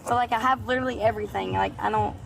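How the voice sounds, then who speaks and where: A woman's voice: mid-range voice, fairly even intonation, rapid-fire, gravelly, low-quality recording. A young woman, flustered and rambling as police question her, recorded on a bodycam outdoors. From Georgia, USA. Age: 20s. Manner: flustered, rambling, repeats herself. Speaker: college student being questioned. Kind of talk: nervous exchange with police. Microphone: officer's bodycam. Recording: bodycam audio with loud music behind.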